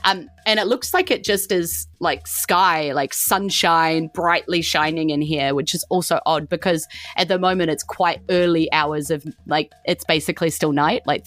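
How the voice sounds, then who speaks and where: Female game master, New Zealand accent, slightly low register, loose chatty improvised narration, very dry recording. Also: fairly bright tone, no echo. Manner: informal, conversational, vivid sound effects.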